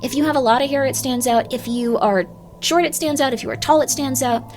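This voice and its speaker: A young woman chatting on a live stream, her slightly high voice jumping up and down as she talks. She rambles through viewer comments with loads of "like" fillers and an easygoing pace. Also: brisk, faint music behind. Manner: rambling, lots of "like" fillers, laughs often, swings up and down in pitch.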